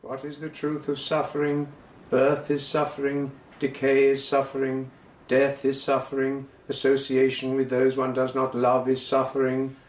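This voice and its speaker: A man acting an earnest scripture reciter at an imperial court in a radio drama. He speaks solemnly and methodically in a medium-pitched voice, in a roomy, echoing space. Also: monotone, normal speed, natural voice. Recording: echoey, roomy sound.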